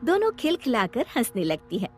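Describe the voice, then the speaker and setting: A woman storyteller giving an expressive, dramatic scripted narration of a children's story. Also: on the high side, normal speed, faint music behind.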